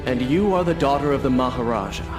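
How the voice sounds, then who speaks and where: An actor playing a proud, sardonic young Persian prince in a video game, with theatrical, formal delivery. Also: male voice, medium pitch, animated, fast talker, music in the background, natural voice. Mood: earnest, resolved. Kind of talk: dramatic video game acting.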